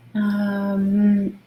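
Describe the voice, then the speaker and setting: A woman hosting a live coding stream, slightly hoarse, talking herself through the code with lots of fillers. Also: medium pitch, muffled recording. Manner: thinking aloud while coding, many fillers. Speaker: host. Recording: echoey room.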